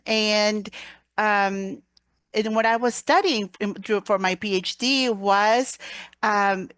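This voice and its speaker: A woman gives a live interpretation of a scientific presentation, catching up with the speaker in halting bursts full of fillers and self-corrections. Her voice is smooth and clean, at a middling pitch, recorded dry and close with no room sound. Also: fairly bright tone, no room sound. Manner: halting, frequent fillers and restarts. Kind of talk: simultaneous interpretation of a scientific talk.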